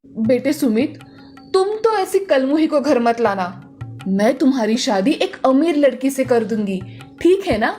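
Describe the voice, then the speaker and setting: Domineering middle-aged mother-in-law for cartoon fable, played by a woman: high, very animated, taunting, faint music underneath. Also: a little slow. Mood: scheming, bossy. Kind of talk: animated moral-story cartoon dialogue.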